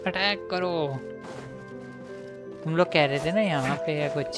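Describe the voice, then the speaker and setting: A man's voice: on the high side, rapid-fire. A man with an Indian accent giving casual live gameplay commentary as a streamer, game music behind him.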